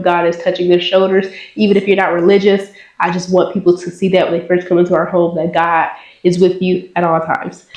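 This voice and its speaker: A woman's voice: mid-range voice, a little mellow. A young woman in her twenties with a congested voice, chatting casually to viewers while giving an apartment tour. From Dallas, Texas. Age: 20s. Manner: chatty, addresses viewers as y'all. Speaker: vlogger. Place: apartment indoors. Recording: echoey apartment rooms.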